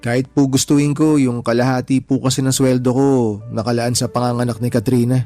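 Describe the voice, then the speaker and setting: A man's voice: mid-range voice, level tone, a little fast, dark tone, soft background music, close to an everyday voice. A man acting in a radio drama as a meek young son-in-law, respectful, earnest and pleading. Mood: patient, reassuring. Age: young adult.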